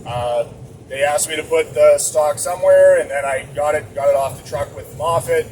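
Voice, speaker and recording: A man's voice: a little high, moderate pace, a little mellow. A man from the area, rambling casually and poking fun at himself while he drives his truck. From Boston area. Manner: rambling, chatty, self-mocking. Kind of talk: casual vlog while driving. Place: truck cab on the road. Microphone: camera mounted inside the cab. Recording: distant mic with road noise. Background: engine and road noise.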